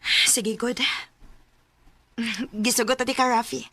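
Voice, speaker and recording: High, bright-voiced affectionate young student. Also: female voice, steady tone, slow pace, no echo, heavily acted. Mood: shy, tentative. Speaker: radio drama actress. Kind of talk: radio drama acting.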